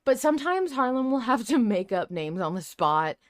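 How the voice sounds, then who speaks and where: A woman podcast host in casual fan discussion, telling things in an animated way with quoted asides. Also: a little high, normal speed, no echo, clean studio sound.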